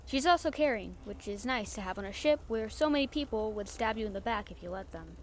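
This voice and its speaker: A woman playing a brash but warm-hearted female pirate captain in an audio roleplay, confiding and casually salty. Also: medium pitch, animated, brisk, close to an everyday voice. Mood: casual, fond. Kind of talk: audio roleplay acting, chatting to the listener. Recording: faint background noise and ambience.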